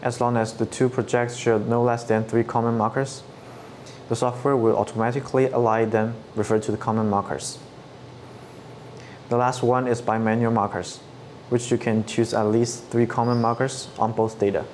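Flat-toned man explaining software steps. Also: mid-range voice, normal speed, slight background noise. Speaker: product trainer. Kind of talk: scripted tutorial narration. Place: studio set with dark backdrop. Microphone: lapel mic.

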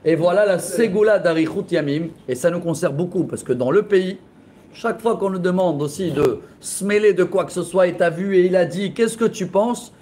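A man in his forties, a rabbi teaching a lively Torah class in a classroom, telling stories with laughter and gestures into a close webcam. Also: high-pitched, moderate pace. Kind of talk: lively storytelling lesson. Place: classroom with bookshelves, indoors. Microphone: laptop webcam at close range.

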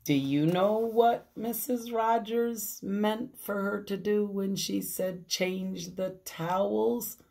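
A woman in her fifties reading a children's story aloud expressively, deliberately, with questioning asides to young listeners. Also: mid-range voice, mellow. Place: small room at home. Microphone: phone at arm's length.

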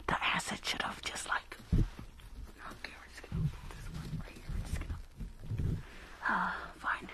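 A woman playing a rude, impatient, crooked dentist in a whispered ASMR roleplay, muttering bossy, repeated orders in a breathy voice. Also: unhurried, pauses often, pushed and bright. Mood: greedy delight. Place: small indoor room, livestream setup.

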